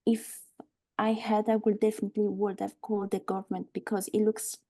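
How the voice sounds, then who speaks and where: A frank, composed woman with an undercurrent of unease, played by an actress at a live table read of a film script. Her tone is mellow and relaxed at a medium pitch. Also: brisk, natural voice. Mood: matter-of-fact. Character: earnest, frank warehouse woman named Aoife, uneasy but composed.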